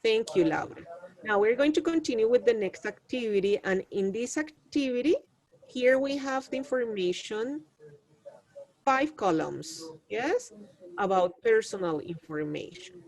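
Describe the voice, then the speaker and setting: A woman's voice: a little high, lively intonation, unhurried, a little mellow, low-quality recording. A woman teaching an English class over a video call, patiently prompting her students.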